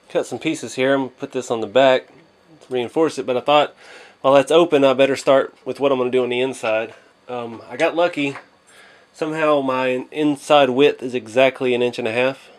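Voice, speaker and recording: A man hosting a casual hobby build vlog, speaking in a modest, hesitant way as he thinks aloud. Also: mid-range voice. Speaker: hobby channel host.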